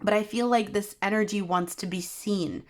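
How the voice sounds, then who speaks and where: A young woman reading tarot cards for her viewers, her voice worn and hoarse from being unwell, talking in a soft, meandering way with many pauses. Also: medium pitch. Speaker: tarot reader. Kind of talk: pick-a-card tarot reading.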